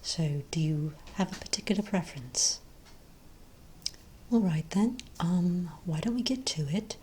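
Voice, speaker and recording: Soft, breathy, low-voiced woman in ASMR role play. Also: lively intonation, measured pace. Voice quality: soft, breathy, often near-whispered. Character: friendly long-established magic wand shop owner.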